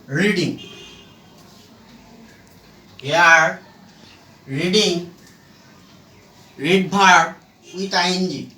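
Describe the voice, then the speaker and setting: Grammar teacher, very slow halting delivery, bright forceful voice, roomy echo, distant microphone. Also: medium pitch. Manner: halting, short phrases with pauses. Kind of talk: slow explanatory grammar lesson.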